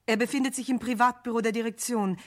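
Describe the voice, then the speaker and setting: An actress playing a polite, efficient office secretary in a German radio crime play. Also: female voice, on the high side, fairly even intonation, quick pace, close to an everyday voice.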